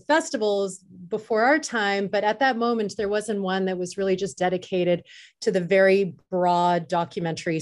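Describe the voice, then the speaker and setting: A woman telling a story conversationally in an interview as a festival director, fluent and emphatic. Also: mid-range voice.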